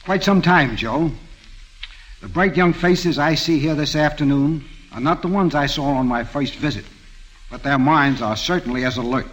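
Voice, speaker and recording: Husky, formal American man on old radio. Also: a little high, moderate pace, fairly bright tone, low-quality recording. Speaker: guest observer on a quiz program. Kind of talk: formal prepared remarks on 1940s radio.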